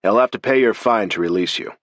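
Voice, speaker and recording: A man's voice: on the low side, fast, a bit bright, no echo, clean studio sound, lightly acted. A man narrating a story voices a stern, curt police officer with an Irish brogue.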